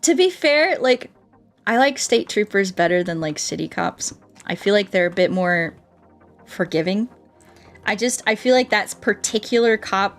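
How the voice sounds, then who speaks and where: Low-pitched young American woman streamer chatting. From United States. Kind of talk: casual livestream chat while gaming. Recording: music playing behind the voice. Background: background music.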